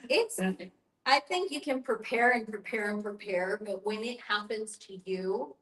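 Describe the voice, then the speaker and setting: A woman's voice: mid-range voice, unhurried, fairly bright tone. A woman leading a group discussion as facilitator, speaking in an earnest, explanatory way with emphatic repetition. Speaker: guest facilitator, end-of-life educator. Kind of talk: guided group discussion with personal anecdotes. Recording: echoey room.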